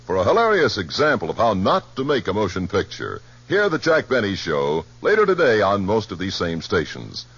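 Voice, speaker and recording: Animated American male radio announcer, husky. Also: on the low side, normal speed, hardly any pauses. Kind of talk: 1950s scripted radio promo announcing. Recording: muffled vintage radio broadcast.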